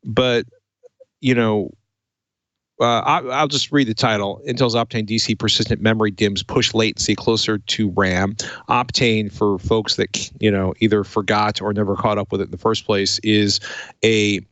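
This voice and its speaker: A man with a lowish voice hosts a tech show in a chatty, casual way. He sounds playful and wry, recorded very dry with no room sound. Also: steady tone, moderate pace, fairly bright tone, dry recording.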